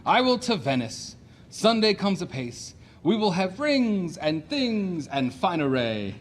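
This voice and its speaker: An actor playing a brash, boastful, domineering suitor in a classic comedy audio play, with declamatory theatrical delivery. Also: male voice, sits high, sing-song, slow, exaggerated voice. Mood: triumphant.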